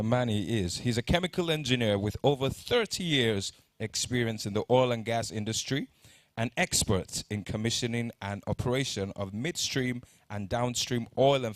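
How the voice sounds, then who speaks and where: A man's voice: medium pitch, no echo. A man, introducing conference speakers from the stage, reading their bios and stumbling now and then on names. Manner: reads bios, stumbles on names, jokes lightly. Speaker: conference emcee.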